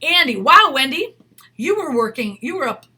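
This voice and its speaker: A woman's voice: sits high, sing-song, moderate pace, bright, forceful tone. A middle-aged woman, an acting career coach, giving casual, emphatic advice to camera. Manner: chatty, emphatic, reads a viewer's email aloud.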